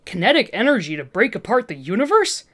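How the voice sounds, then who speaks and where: Woman doing a flippant, animated young male superhero. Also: medium pitch, fast talker. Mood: probing curiosity. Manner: joking, slightly stammering, inquisitive. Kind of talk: dramatised fan fiction reading.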